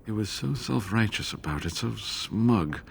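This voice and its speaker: An actor playing a weary, earnest scholar in a fantasy video game, speaking in a measured, formal, rueful way. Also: male voice, on the low side, lively intonation, creaky, slight background noise. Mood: bitter.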